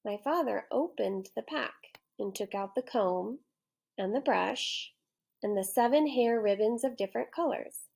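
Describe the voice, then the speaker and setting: Female teacher narrator for children's read-aloud: unhurried, fairly high, with noticeable creak. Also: a little mellow. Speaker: third grade teacher.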